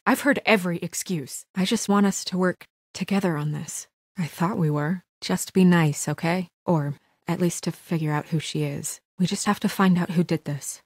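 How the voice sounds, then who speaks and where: An actress plays a guarded, determined young fairy-tale woman in a naturalistic video game drama. Her voice sits fairly low, with an earnest, businesslike firmness. The sound is close and dry, with no room on it. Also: no room sound, lightly acted. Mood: earnest, firm. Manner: earnest, measured, firm, businesslike.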